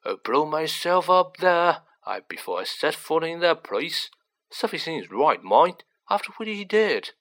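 A storyteller voicing a gloomy male bus driver who talks in a slow, halting, grunting way, in an audiobook reading. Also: mid-range voice, very animated, fairly bright tone, pitched above the performer's own voice. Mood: dark, slow.

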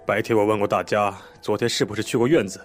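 An actor playing a police inspector in a radio drama, polite and measured but quietly pressing and official. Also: male voice, fairly low pitch, fairly even intonation, soft background music, lightly acted. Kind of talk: broadcast drama acting.

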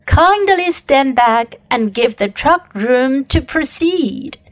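A woman voicing a pompous, formal fairground loudspeaker announcer in a storybook reading. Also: high-pitched, expressive, slow pace. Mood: pompous, proclaiming. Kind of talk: storybook character voice.

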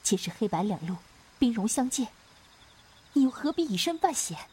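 A woman's voice: a little high, big pitch swings, moderate pace, mellow, breathy edge, exaggerated voice. A woman playing a playful, earnest young swordswoman in a Chinese wuxia radio drama, with a hesitant, coaxing, theatrical delivery. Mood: grave, earnest.

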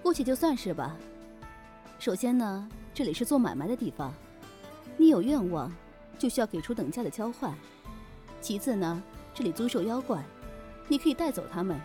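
Woman acting a shrewd, animated demon innkeeper. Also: mid-range voice, moderate pace, pauses often, soft background music, close to an everyday voice. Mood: breezy, businesslike. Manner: teasing, bossy, offhand. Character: shrewd, breezy keeper of a demon inn. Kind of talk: audio drama acting.

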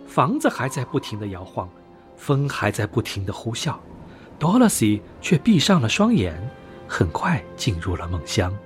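A man narrating a bedtime fairy tale for young children in an expressive, dramatic storytelling manner. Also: mid-range voice. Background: background music.

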